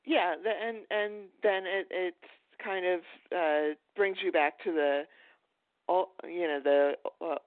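A woman's voice: fairly low pitch, steady tone, slow pace, no echo. A woman hosting a casual podcast interview over a call, feeling her way through rambling, hesitant phrasing. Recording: narrow, tinny phone-line sound.

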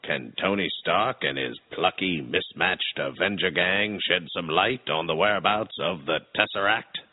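Man doing a deep, husky radio serial announcer. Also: unhurried, no echo, narrow, tinny audio. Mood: mock suspense. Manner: theatrical, tongue-in-cheek grandiose delivery. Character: hammy old-time radio serial announcer, mock-dramatic and pompous. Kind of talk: old radio drama narration and sponsor spot.

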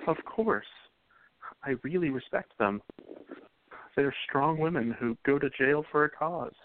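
A man playing a gentle, supportive 1920s sweetheart in a radio play, tender and earnest. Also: fairly high pitch, a little slow, natural voice. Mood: respectful. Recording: tinny phone-like sound.

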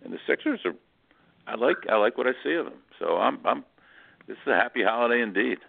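A man talking sports casually as a radio guest, halting and often repeating words as he restarts. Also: mid-range voice, expressive, creaky, narrow, tinny audio.

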